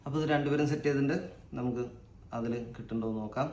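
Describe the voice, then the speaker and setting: A man's voice: medium pitch, steady tone, rapid-fire, darkish tone. Echoey Malayali man, casual vlogger. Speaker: vlog host. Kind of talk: casual unboxing vlog commentary. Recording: echoey room with faint background noise.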